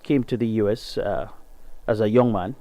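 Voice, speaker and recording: A man's voice: moderate pace, warm tone, no echo. A middle-aged Jamaican man hosting a gospel radio show, reading an article aloud and then speaking earnestly and emphatically. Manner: earnest, emphatic, rises in pitch to stress points. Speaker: radio host.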